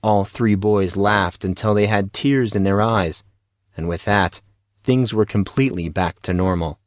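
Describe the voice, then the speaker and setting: Low-pitched man narrating fiction. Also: a little slow, no echo, studio quality. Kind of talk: scripted fiction narration for an audio drama.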